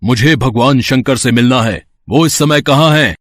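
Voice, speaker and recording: A proud warrior sage from a devotional storybook tale, acted by a man. He speaks formal, declamatory lines at a middle pitch. The sound is close and dry. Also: moderate pace, fairly bright tone, dry recording, lightly acted. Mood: indignant. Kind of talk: acted dialogue in a Hindi mythological story.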